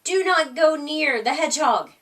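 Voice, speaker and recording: A protective storybook mother possum, voiced by a woman reader calls out in a high, bright voice. She is urgent and commanding, slightly off the microphone. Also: animated, moderate pace, recorded from a distance. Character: protective mother possum calling her babies.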